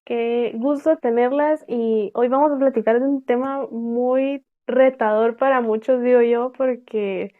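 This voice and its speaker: Young Guatemalan woman host, tinny phone-like sound. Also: a little high. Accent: Guatemalan Spanish. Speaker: podcast host.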